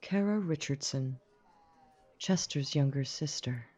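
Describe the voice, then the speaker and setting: Hostile, sneering werewolf sister, acted by a woman, husky voice, slightly dull recording, audio drama. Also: a bass voice, slow pace, dark tone, heavily acted. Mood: contemptuous. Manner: sneering, contemptuous. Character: haughty, hostile younger werewolf sister, scornful. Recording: slightly dull, muffled microphone.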